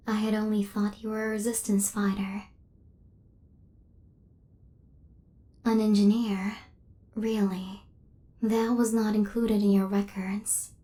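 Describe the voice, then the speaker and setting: A woman playing an injured, devoted female killer robot in an intimate ASMR roleplay, with a soft, breathy half-whisper and formal, precise phrasing. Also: medium pitch, lightly acted. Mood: calm, analytical.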